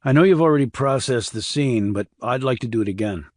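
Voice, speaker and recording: A man voicing a composed male army criminal investigator in an audiobook, speaking in a calm, matter-of-fact way. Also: mid-range voice, a little fast, dry recording, clean studio sound, natural voice. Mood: calm, businesslike.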